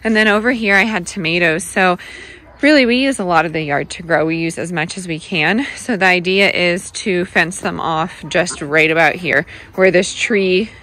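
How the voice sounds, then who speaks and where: Bright-voiced chatty young mom. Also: female voice, fairly low pitch, moderate pace. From United States. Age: young adult. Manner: chatty and upbeat, lots of "like" and "uh". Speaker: family vlogger. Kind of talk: casual vlog talk to camera. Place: indoors room, then snowy backyard outdoors. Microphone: phone held at arm's length.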